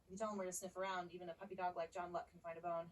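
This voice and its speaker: A woman acts a shrewd American female strategist in a game cutscene. Her voice sits a bit low and moves quickly, matter-of-fact and businesslike, picked up slightly off the mic. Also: level tone, far from the mic, slight background noise, lightly acted. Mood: calculating. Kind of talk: cinematic video game dialogue.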